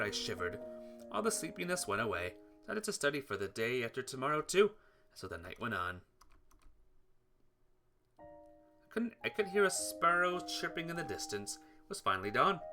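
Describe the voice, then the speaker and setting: A man narrates a visual novel playthrough with lively, swinging pitch. He reads expressively and sometimes trips over words, with guitar music playing behind him. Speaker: playthrough host reading a visual novel aloud. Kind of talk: expressive read-aloud of game text.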